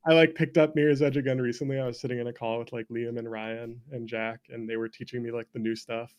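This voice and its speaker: A man's voice: medium pitch, little pitch movement, fast talker, clear, resonant tone. A young man telling stories casually as an interview guest, informal and self-deprecating, peppering his talk with "like". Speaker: interview guest, streamer and former speedrunner. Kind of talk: casual conversational storytelling.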